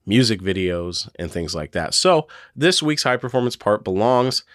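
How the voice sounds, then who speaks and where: A man hosting a solo podcast, hoarse from a cold, chatting casually and rambling with lots of fillers and hedges. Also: on the low side, animated, normal speed, fairly bright tone, no room sound, clean studio sound. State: hoarse, getting over a cold. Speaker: podcast host.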